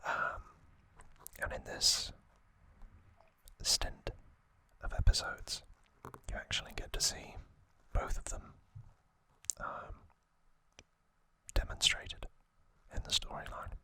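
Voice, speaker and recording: A man speaks entirely in a breathy whisper with a very bright, sibilant edge. He takes his time, setting down short, hushed phrases at a slow, deliberate pace. The delivery is that of an ASMR host narrating trivia.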